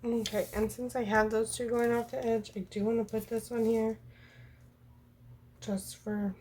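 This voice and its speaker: Hoarse woman thinking aloud slowly. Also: on the high side, level tone, a little mellow. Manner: thinks aloud, counts under breath, unhurried. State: hoarse, froggy voice from a cold. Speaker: planner vlogger. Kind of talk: casual talk while drawing a journal spread.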